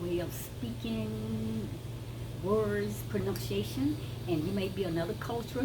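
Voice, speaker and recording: A woman with a Brazilian accent, talking to viewers in a casual, emphatic teaching style, repeating words for stress. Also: mid-range voice. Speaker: wellness vlogger. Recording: noticeable background noise.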